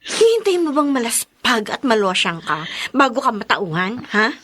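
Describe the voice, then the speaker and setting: A woman's voice: a little high, big pitch swings, normal speed, a bit bright. An actress in a Tagalog radio drama playing a protective, blunt, exasperated young woman friend. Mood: admonishing. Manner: emphatic, admonishing.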